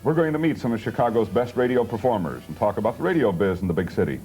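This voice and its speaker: A man's voice: fairly low pitch, animated, fast, warm tone, faint music behind, rough recording. A man hosting a talk show in a casual, folksy and jocular manner. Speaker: television talk show host. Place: television studio. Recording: hissy 1970s TV broadcast audio.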